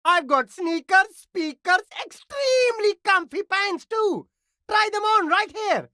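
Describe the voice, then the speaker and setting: Casting: high-voiced game street hustler, slick, eager, swooping delivery, flattering slangy patter, dry close sound. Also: slow pace, dry recording, exaggerated voice. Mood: smooth, flattering. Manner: salesman's patter, flattering, laid-back slang. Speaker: street vendor character in a video game. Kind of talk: video game character dialogue.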